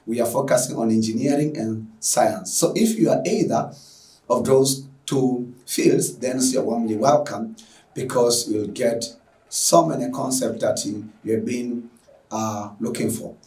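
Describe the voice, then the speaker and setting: A man's voice: medium pitch, unhurried, dark tone, reverberant, distant mic, low-quality recording. A man from Tanzania giving an effusive, filler-heavy welcome as an online lecturer. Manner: effusive, repetitive, with frequent fillers. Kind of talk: lecture introduction.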